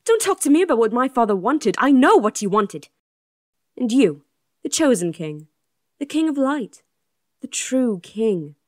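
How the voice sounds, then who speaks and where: A woman's voice: a little high, big pitch swings, unhurried, long pauses, a little mellow, no room sound, clean studio sound. A woman playing a fiery, grieving young princess and protective twin sister in an audio drama. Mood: angry, hurt. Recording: music under the voice.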